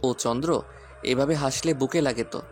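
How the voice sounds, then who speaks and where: A man acts out a husband in a romantic audio drama, teasing and devoted with a gruff streak. His medium-pitched voice sits over background music. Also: normal speed, darkish tone, lightly acted. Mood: tender, adoring. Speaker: voice actor in audio story.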